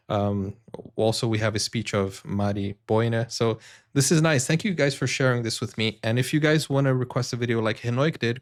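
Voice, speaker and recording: A Brazilian man whose first language is Portuguese, speaking English with an American accent, hosting a reaction video in an earnest, rambling way with many fillers. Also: mid-range voice. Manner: earnest, addresses viewers directly. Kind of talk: casual reaction commentary.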